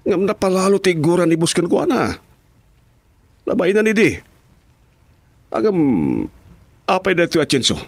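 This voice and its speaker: A man's voice: high voice, moderate pace, pauses often, breathy edge, dry recording. An actor playing a deferential, questioning henchman and driver in a radio drama, with an Ilocano accent.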